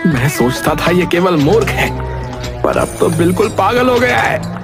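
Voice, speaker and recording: A man's voice: high-pitched, big pitch swings, unhurried, exaggerated voice. A man voicing a cowardly, grumbling royal minister in a cartoon, stammering, hesitant and whiny. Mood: muttering, scornful. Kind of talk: cartoon voice acting. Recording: music behind the voice.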